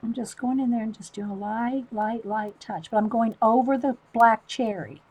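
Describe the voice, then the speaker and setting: A woman with a loud voice, chatting casually to livestream viewers while she shows a coloring technique. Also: a little high, normal speed, husky, poor audio. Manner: rambling, talks to chat viewers by name.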